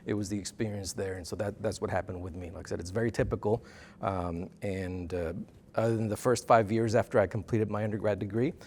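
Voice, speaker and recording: A man's voice: lowish voice, fairly even intonation, a little fast, a little mellow, slight background noise. A middle-aged man from South Texas answering interview questions in a TV studio, thoughtful and full of "uh" and "um" fillers. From South Texas border region. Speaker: interview guest, dean of students. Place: TV news studio set. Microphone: lapel mic.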